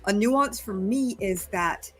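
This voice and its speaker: A woman from Barbados with a Barbadian accent, reflecting on personal experience in a panel discussion, deliberate and emphatic in her repetition. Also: mid-range voice, soft background music. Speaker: panel speaker.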